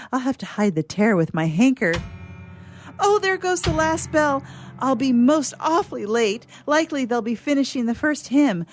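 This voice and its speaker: A woman performs a vain young lady in a comic radio play, forever flustered and bossing everyone about. Her delivery is exclamatory and impatient, leaping up and down in pitch. The sound is close and dry. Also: dry recording. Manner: fussy, exclamatory, impatient complaining.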